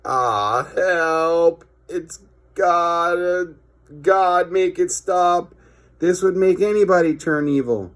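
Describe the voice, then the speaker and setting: A man voicing an excitable, panicky schoolchild from a children's comic, crying out. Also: high-pitched, takes it slowly, pitched above the performer's own voice. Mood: distressed. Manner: exclaiming, excitable. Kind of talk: children's comic read aloud.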